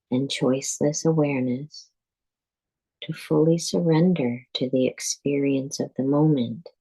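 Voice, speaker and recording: A woman guiding a yoga nidra meditation as a teacher, speaking calmly and deliberately in measured phrases with pauses. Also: very deep voice, husky, poor audio. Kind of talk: guided meditation, scripted instruction.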